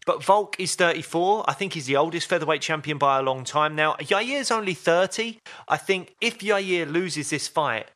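A man's voice: on the high side, normal speed, a bit bright. A man co-hosting a podcast, chatting casually and playfully about upcoming fights. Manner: chatty, playful, jokes and laughs often. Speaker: podcast co-host.

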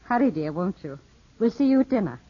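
A woman's voice: mid-range voice, very animated, a little fast. A woman playing a charming, teasing, composed lady in a 1950s radio drama, with a genteel, coaxing, theatrical delivery. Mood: bright, gracious. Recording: dull, slightly husky-sounding 1950s radio broadcast.

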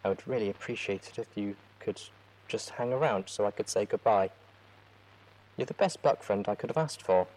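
A man reading a soft, worried young mare. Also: lowish voice, normal speed, close to an everyday voice, low-quality recording. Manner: soft, hushed letter reading.

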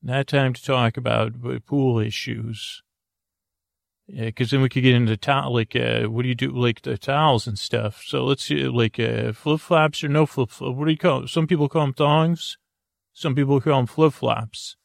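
A man hosting a bedtime podcast, rambling softly off on tangents in lulling, creaky, dulcet tones. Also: mid-range voice, fairly even intonation, normal speed, no echo, studio quality. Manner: meandering, tangent-prone, self-interrupting, drowsy and soft. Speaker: podcast host.

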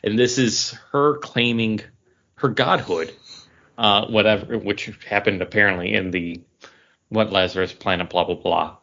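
A man's voice: lowish voice, fairly even intonation, measured pace, gravelly, sharp tone. Man casually chatting about comics, lo-fi sound. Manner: chatty, trails off and restarts while recalling names. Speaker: podcast co-host. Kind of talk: casual chat. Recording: dull lo-fi podcast audio.

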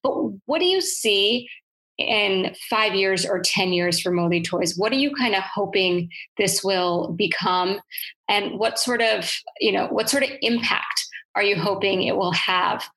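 A woman hosting an interview, asking polite, brisk questions. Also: medium pitch, a bit bright, low-quality recording.

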